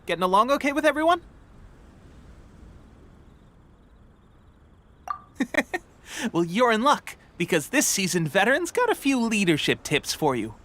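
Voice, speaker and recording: An actor playing a cheerful, boastful young resistance soldier in a video game, cocky and chummy in manner. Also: male voice, high-pitched, sing-song, fairly quick, sharp tone, exaggerated voice. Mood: chummy, proud. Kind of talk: English video game voice acting. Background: faint game music.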